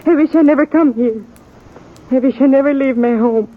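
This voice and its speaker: Radio western: fiercely loyal immigrant woman, high voice, broken English, old lo-fi broadcast. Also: normal speed. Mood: bitter, despairing. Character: distraught, stubborn immigrant woman loyal to her man. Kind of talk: 1950s radio western acting.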